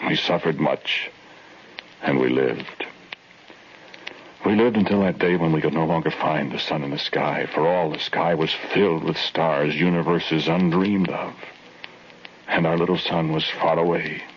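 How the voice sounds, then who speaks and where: An actor playing an earnest male survivor telling his grim story in a solemn, measured 1940s radio drama style. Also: a bass voice, fairly even intonation, slight background noise. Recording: muffled old radio recording with music.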